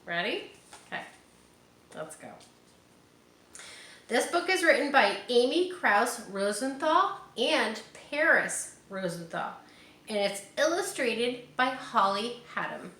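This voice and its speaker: A woman's voice: on the high side, sing-song, slow pace, pauses often, bright, forceful tone. A woman reading a picture book aloud to children, speaking to them directly and encouragingly with casual asides. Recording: roomy echo, faint background noise.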